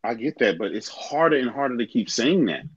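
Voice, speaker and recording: A man's voice: mid-range voice, lively intonation, fairly quick, a crowd in the background. A man in a casual gaming chat, pushing his points in an argumentative way. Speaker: podcast co-host. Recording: dull dry lo-fi mic.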